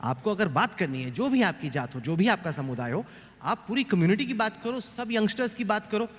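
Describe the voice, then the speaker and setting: A man's voice: high-pitched, big pitch swings, a little fast, fairly bright tone, slightly rough. A man moderating a live panel debate, firm and stern as he keeps the speakers in order. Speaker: debate moderator, news anchor. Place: large conference hall on stage. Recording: long hall reverb.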